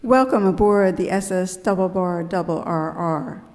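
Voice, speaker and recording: A woman's voice: lowish voice, normal speed, darkish tone, lightly acted. A woman playing a formal, evasive ship captain in an amateur live radio skit, recorded in an echoey, noisy hall. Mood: cagey.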